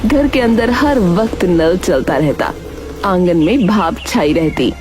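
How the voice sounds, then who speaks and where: A woman tells a scripted cartoon tale as narrator. Her intonation is lively and dramatic, moving freely up and down. Music plays clearly behind her. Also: lowish voice, slow pace. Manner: dramatic storytelling. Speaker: story narrator. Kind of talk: scripted narration of a Hindi cartoon moral story.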